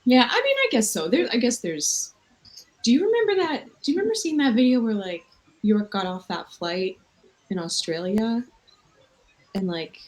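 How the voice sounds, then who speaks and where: A woman's voice: a little high, big pitch swings, normal speed. Loopy, rambling woman on a late-night video chat. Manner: loose, rambling, self-mocking. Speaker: livestream host. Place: hotel room at night. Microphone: video call.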